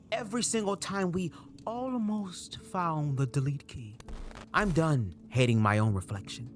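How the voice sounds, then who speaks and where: A spoken-word performer delivering a dramatic monologue in an emphatic, deliberate way, with short punchy phrases. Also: medium pitch. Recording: voice over a clear music bed. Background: music.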